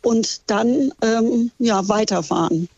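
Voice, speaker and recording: A woman's voice: a little high, slow pace, a little mellow, no room sound. A woman from Germany working as a tour guide on a ship, calling in to a radio show. She talks in a friendly way and hesitates with many fillers. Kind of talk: phone interview. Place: on a ship's deck at sea. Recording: slightly dull phone line.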